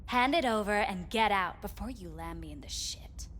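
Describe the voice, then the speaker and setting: An actress playing a hard-nosed, defensive woman who works at a pleasure club in a video game, curt and husky. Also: mid-range voice, lively intonation, normal speed, music in the background, natural voice. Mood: irritated, grudging. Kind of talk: naturalistic video game acting.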